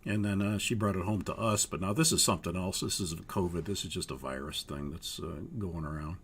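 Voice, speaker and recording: An older man with a hoarse, raspy voice from a cold leads an online pilot course. His voice sits low and gravelly. He explains things haltingly and fills his pauses with "uh.". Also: fairly bright tone. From Minnesota, US. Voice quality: gravelly, husky. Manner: halting, with frequent uhs. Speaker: flight training instructor. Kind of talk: live online course walkthrough.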